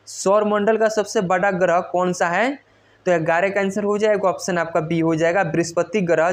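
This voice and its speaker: Coaching teacher going thru quiz questions, mid pitch, keeps repeating and stressing the answers. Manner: repetitive, instructional, stressing answers. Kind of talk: quiz lesson, reading questions and explaining answers.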